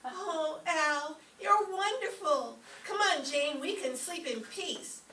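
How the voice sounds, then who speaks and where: A woman's voice: high-pitched, takes it slowly. A woman playing a naive, timid, dim-witted young woman in an old-time radio comedy, speaking in a guileless way. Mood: admiring. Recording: echoey stage, distant mic, faint noise.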